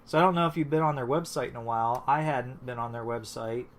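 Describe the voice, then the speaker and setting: A man's voice: mid-range voice, quick pace. A man hosting a casual live stream, rambling and restarting with lots of fillers, just back from being sick. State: recovering from illness. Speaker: host.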